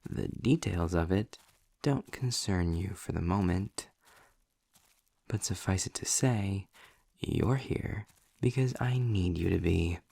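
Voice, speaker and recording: A man's voice: takes it slowly, mellow, dry recording, exaggerated voice. A man playing a snarky, impatient male demon in an audio roleplay, speaking to the listener with theatrical, sardonic condescension. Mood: sardonic, commanding. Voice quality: low, gravelly, husky with breathy drops. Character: snarky, impatient male demon trapped in his own hell.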